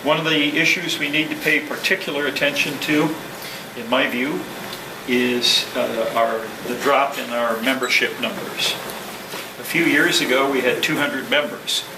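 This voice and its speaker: A man speaking as a club president, giving a prepared address to a meeting in a halting, measured, formal way. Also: medium pitch, fairly even intonation. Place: indoor meeting room with ventilation hum. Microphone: distant room microphone. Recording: distant mic, echoey room, clear background noise.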